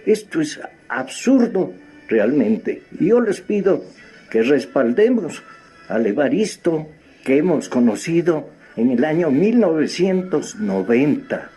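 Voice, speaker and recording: A man's voice: fairly high pitch, big pitch swings, slow, dark tone, breathy edge, soft background music. An older man with an Ecuadorian accent making an emphatic, theatrical spoken appeal. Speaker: veteran radio drama actor.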